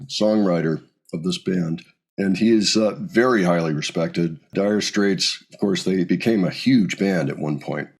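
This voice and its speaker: A middle-aged man chatting casually and thoughtfully as he hosts a music reaction show, rambling a little as he introduces things. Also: lowish voice.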